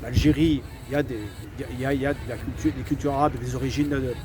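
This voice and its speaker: A man's voice: medium pitch, flat delivery, very fast, music in the background. A man from France giving his opinion to camera, hesitant, with many fillers and repeated words between firm statements. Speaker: vlogger.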